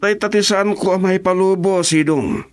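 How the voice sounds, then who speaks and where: A man's voice: sits high, steady tone, moderate pace, dry recording, natural voice. A man doing a brash impatient henchman. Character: brash restless henchman, eager to fight. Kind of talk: radio drama acting.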